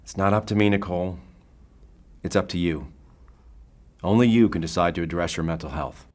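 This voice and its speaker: An actor playing a calm, probing bureau therapist in an audio drama, speaking in a formal, deliberate way. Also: male voice, deep voice, lightly acted. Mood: firm, measured.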